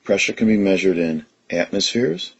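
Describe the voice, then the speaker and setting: A man with a very deep, husky voice teaching chemistry. He talks through each step of a problem in a steady, methodical way. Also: normal speed, dull audio. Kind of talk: recorded lesson explanation.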